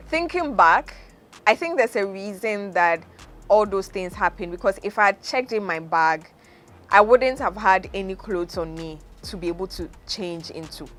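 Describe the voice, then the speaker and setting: A young woman with a Ghanaian accent, hosting a podcast and telling a personal story in a relaxed way, laughing often as she goes. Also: medium pitch, measured pace. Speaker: podcast host. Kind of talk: casual storytelling.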